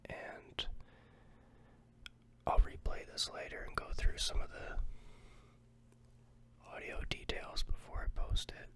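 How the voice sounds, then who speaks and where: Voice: slow, pauses often, a bit bright. A person in a fully unvoiced ASMR whisper, a little stuffy from a cold, rambling casually with many ums into a close microphone. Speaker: ASMR vlogger.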